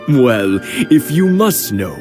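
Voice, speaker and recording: An actor voicing a gentle elderly husband in a video game, sheepish and fond, musing hesitantly as he speaks. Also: mid-range voice. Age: older. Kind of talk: scripted video game voice acting. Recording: music playing behind the voice.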